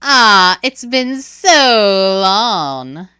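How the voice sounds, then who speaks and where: A woman putting on a sly cat spirit who teases and chuckles, pitched high above her usual voice. The sound is bright and forward, drawn out slowly at times, in a dry, close recording. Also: big pitch swings, clean tone, dry recording, full character voice. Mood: teasing. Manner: teasing, chuckling. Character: sly grinning cat spirit, playful and mocking.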